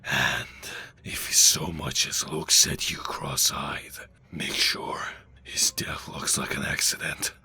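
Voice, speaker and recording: A man's voice: very deep voice, steady tone, takes it slowly, sharp tone, breathy edge, whispered, exaggerated voice. A man playing a ruthless, callous intelligence director in a comic book audio drama, with a menacing growl. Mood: cold menace.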